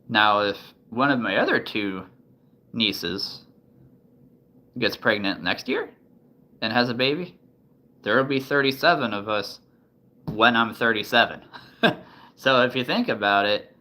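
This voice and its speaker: A man's voice: on the low side, bright, forceful tone. A man in his thirties chatting casually to the camera, thinking aloud with many ums and restarts. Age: 30s. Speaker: vlogger.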